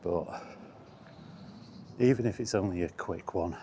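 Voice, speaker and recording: A man with a deep voice talks at an unhurried, slow pace, as in a casual walking vlog. He rambles in a self-deprecating, mildly exasperated way. The recording is made outdoors, with faint ambient noise behind him. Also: warm tone. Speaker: vlogger. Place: outdoors on a path in windy weather. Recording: outdoor camera audio with background noise. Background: outdoor ambience, wind.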